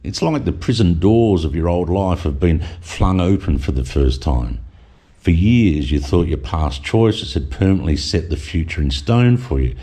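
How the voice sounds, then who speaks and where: A man's voice: low-pitched, animated, normal speed, mellow, slightly rough. A middle-aged man reading a reflective voice-over with wry asides. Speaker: vlogger narrator.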